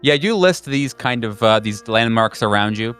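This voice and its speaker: A man narrating as game master of a horror tabletop game in a deliberate, halting, dramatic way. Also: medium pitch, animated, fairly bright tone, soft background music.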